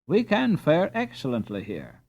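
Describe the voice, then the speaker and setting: Man voicing a confident boy captain, raised pitch. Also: huge pitch swings, measured pace, darkish tone, full character voice. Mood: easygoing. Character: confident boy captain, leader of his friends.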